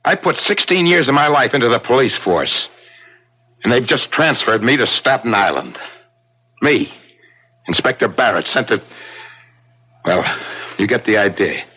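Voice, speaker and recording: An actor playing an ambitious, blunt veteran police inspector in a radio drama, his voice rough-edged and insistent. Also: medium pitch, animated, moderate pace, pauses often, pushed and bright, poor audio. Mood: bitter, demanding. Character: ambitious, hard-bitten veteran police inspector, gruff and demanding. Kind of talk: 1970s radio drama acting. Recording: slightly rough 1970s radio drama recording.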